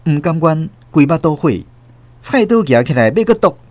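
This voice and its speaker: A man with a Taiwanese accent narrating a scripted story in a theatrical, expressive way. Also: a little high, moderate pace, very noisy.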